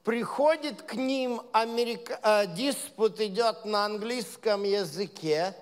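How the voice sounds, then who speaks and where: A man's voice: high-pitched, measured pace. A man leading his congregation in an informal sermon, talking conversationally with many hesitations and building to emphatic points. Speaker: leader of a Messianic congregation. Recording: long reverb of a large hall.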